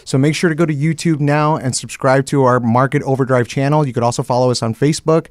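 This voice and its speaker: A man co-hosting a laid-back talk show who talks quickly and laughs easily. His voice is mid-pitched and recorded close and dry. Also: steady tone, no room sound. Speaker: co-host. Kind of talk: casual chat.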